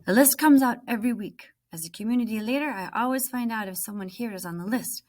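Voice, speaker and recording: A woman voicing a steady male refugee camp leader from a storybook, matter-of-fact and official. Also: on the high side, fast, far from the mic, lightly acted. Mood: reassuring.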